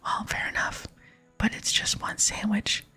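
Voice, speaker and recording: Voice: measured pace, sharp tone, soft background music. A person voicing a polite, pleading and slightly cheeky video game adventurer in a whisper. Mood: hesitant, pleading.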